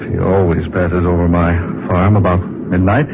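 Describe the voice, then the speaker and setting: An actor playing a nervous, furtive farmer on the run in a vintage radio drama. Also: male voice, low-pitched, little pitch movement, vocal fry. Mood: uneasy, evasive. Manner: halting, muttering, turning frantic. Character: nervous, furtive farmer, a fugitive fleeing by plane. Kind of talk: 1940s radio acting. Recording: noisy muffled vintage radio recording, engine drone.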